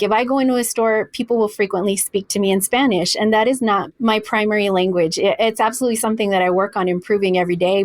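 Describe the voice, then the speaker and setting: A woman from El Paso, Texas, a guest in an interview, explaining her views in an earnest, measured way and slowing down on key words. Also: mid-range voice, no room sound. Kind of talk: interview answers.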